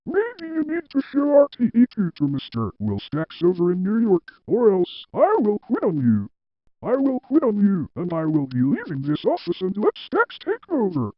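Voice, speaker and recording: A man plays a defiant office employee in a drama, hammering points repeatedly. The pitch is medium but swings widely. The recording is dry and muffled. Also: huge pitch swings, normal speed, dry recording. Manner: emphatic, repetitive. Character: defiant, stubborn male office employee who argues back. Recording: dull, dry lo-fi audio.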